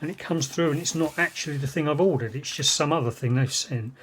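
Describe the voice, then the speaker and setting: A man's voice: a little high, fast talker, a little hiss or hum. A British man chatting casually and unscripted as he shows items on his vlog, rambling and musing aloud. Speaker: vlogger showing vintage cassette gear. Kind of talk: casual unscripted unboxing chat.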